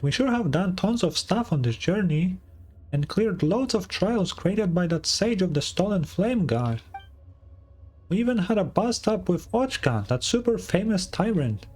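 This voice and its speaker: A man's voice: high-pitched, darkish tone, slightly rough, pitched above the performer's own voice, heavily acted. A man voicing a small, chirpy, childlike female fairy companion in a video game, bubbly and chatty, with game music behind. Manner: bubbly, chatty, refers to self by name.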